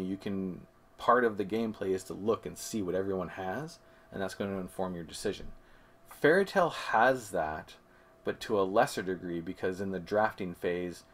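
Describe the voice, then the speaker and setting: A man co-hosting a board game review, explaining things methodically in casual conversation and correcting himself as he goes. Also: low voice. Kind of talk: casual comparison chat.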